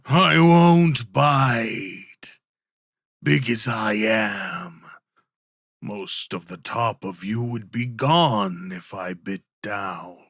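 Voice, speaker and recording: Man voicing a slow, teasing infernal wolf. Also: fairly low pitch, fairly bright tone, no room sound, clean studio sound, full character voice. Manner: slow, deliberate, formal speech. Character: huge male infernal wolf, stoic, patient, teasing. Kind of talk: ASMR roleplay character acting.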